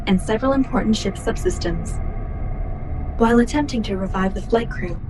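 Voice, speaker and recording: Music plays clearly behind a formal female ship's computer acted by a woman. The voice is mid-pitched, measured and precise, an obedient artificial mind in a sci-fi radio drama. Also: lightly acted, rough recording. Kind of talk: sci-fi radio play acting.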